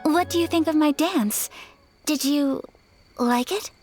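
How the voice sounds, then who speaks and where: A woman playing a gentle, refined young noblewoman in a video game, soft-spoken, formal and earnest. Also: high-pitched, a little slow, soft background music. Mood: shy, wistful.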